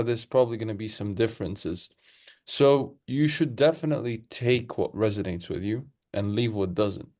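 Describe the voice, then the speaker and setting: A man's voice: medium pitch, darkish tone. A young man co-hosting a casual podcast over a video call from his room, giving advice with a put-on British-sounding accent. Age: young adult. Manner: playful, then earnest advice-giving. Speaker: podcast co-host. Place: room at home, seated in gaming chair. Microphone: video call webcam.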